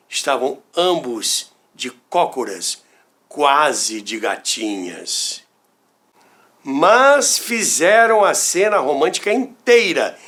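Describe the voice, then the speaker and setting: Slow, expressive Brazilian man telling stories. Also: a little high. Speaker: radio history podcast host. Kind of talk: scripted storytelling narration.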